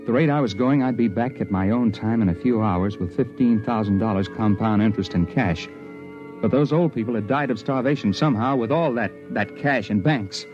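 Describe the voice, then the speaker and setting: Wary hired hand in a vintage radio thriller, played by a man; lowish pitch, dull old recording with prominent music. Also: brisk, close to an everyday voice. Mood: grim, tense recounting. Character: wary, hungry hired man narrating his time-travel ordeal. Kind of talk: 1950s radio drama acting, first-person narration. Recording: muffled 1950s radio recording, loud music.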